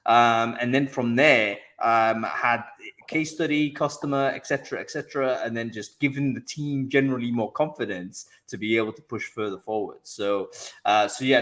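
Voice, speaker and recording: A man's voice: medium pitch, fairly bright tone. A man hosting an interview show, recapping his guest's advice in casual, enthusiastic chat with frequent fillers and restarts. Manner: enthusiastic, rephrases and sums up guest's points. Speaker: podcast host.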